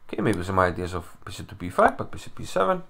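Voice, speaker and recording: Gravelly Icelandic man analyzing chess. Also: low-pitched, sing-song, fast, a bit bright. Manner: chatty, self-deprecating, thinking aloud. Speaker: chess commentator. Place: home room. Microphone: computer mic, no camera.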